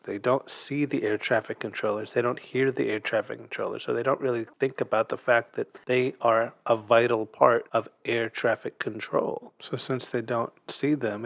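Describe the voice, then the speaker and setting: A man's voice: mid-range voice, gravelly, no echo. A man hosting a commentary show, reading scripted opinion in an earnest, emphatic manner.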